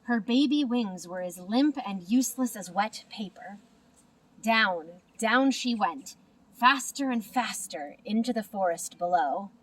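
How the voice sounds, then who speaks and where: A woman narrating a children's storybook in an expressive, deliberate manner. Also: medium pitch, distant mic, rough recording. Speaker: storybook reader.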